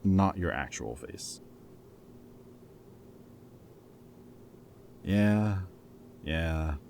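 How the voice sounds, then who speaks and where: Ex-villain for roleplay audio drama, male actor: extremely low pitch, casual wry banter, protective friend with a teasing streak. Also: expressive, extremely slow, vocal fry, a little mellow, a little hiss or hum, full character voice. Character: teasing, caring male ex-villain planning with old hero friend.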